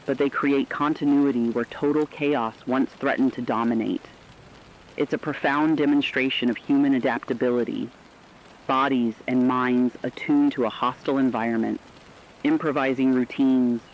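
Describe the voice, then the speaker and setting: A man's voice: mid-range voice, a little hiss or hum, close to an everyday voice. A man playing an earnest field correspondent, delivering a formal, articulate scripted emergency report for a radio drama. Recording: slightly dull lo-fi radio sound.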